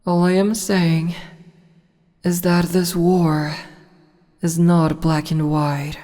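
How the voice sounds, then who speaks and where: A woman voicing a slow, haughty demon empress. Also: fairly low pitch, flat delivery, dark tone, in a large hall. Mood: teasing. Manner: condescending, slow and teasing. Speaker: voice actress. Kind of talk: ASMR audio roleplay.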